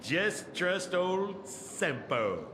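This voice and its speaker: A man playing an excitable old tavern informant in a video game, teasing and theatrical as he spins his stories. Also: high voice, extremely slow, noisy background, exaggerated voice. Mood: sly, storytelling. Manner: theatrical, teasing storyteller with dramatic exclamations. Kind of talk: animated video game acting. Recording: echoey, reverberant, over music.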